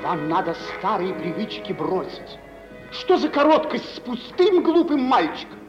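An actor playing a vain, jealous, touchy bridegroom with a stiff, self-important manner in old radio theatre style. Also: male voice, high voice, big pitch swings, a little slow, soft background music. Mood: jealous, nagging. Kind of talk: 1950s radio theatre acting. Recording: muffled old radio recording, echoey hall.

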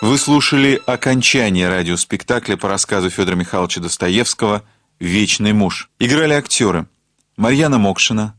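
A man's voice: on the low side, lively intonation, brisk, fairly bright tone, faint music behind. A man reading scripted credits as a radio announcer.

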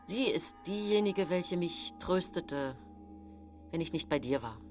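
A woman playing an apologetic man leaving his lover in a radio play. The voice is low, earnest and halting, evasive in tone, with music clearly behind it. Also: lively intonation, darkish tone, highs cut off. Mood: apologetic, resolute. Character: evasive, apologetic male lover, a wine merchant. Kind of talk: radio drama dialogue.

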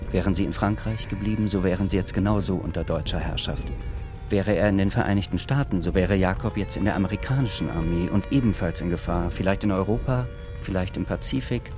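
A male narrator reads literary prose for a radio drama. His voice is low, with prominent music behind it. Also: brisk.

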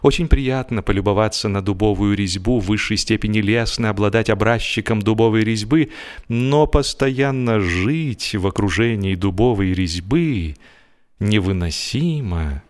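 A man narrating comic literature as an audiobook performer, in an expressive, mock-serious way, drawing out the ends of his phrases. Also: lowish voice. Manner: expressive, wry mock-serious delivery with drawn-out phrase endings.

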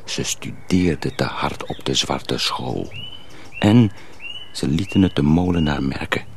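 A man playing a sly young miller's apprentice who poses as a simpleton, speaking confidingly and deliberately in a radio drama. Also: very deep voice, vocal fry, full character voice. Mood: earnest warning. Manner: deliberate, hesitant, confiding, repeats himself. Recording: faint background noise.

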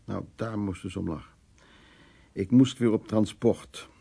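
An older man in a radio play, a stubborn survivor of the war. His voice is low and mellow with a gravelly creak, and he talks in plain, colloquial words, world-weary yet fond. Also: a little slow, heavily acted. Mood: wry, weary reminiscing. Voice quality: husky, creaky. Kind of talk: radio drama acting, reminiscing and intimate dialogue.

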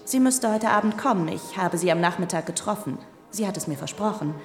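Gracious princess in a reverberant hall. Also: female voice, lowish voice, lively intonation, brisk. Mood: gracious, chatty. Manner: polite, effusive society hostess. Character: gracious aristocratic princess hosting a grand soirée. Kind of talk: radio drama acting. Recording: long hall reverb, music behind.

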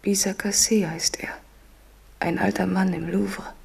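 A woman's voice: medium pitch, little pitch movement, unhurried, a little mellow, exaggerated voice. An actress in a German radio drama playing a king's doomed mistress, fearful, anguished and tenderly devoted. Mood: haunted dread. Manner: breathy, anguished, foreboding.

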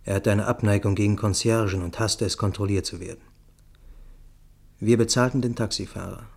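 A storyteller in a radio play, with a fairly low voice. He recounts events in sober, measured literary prose, staying matter-of-fact throughout. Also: fairly even intonation, vocal fry, breathy edge. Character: sober male first-person narrator recounting a tense night. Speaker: narrator.

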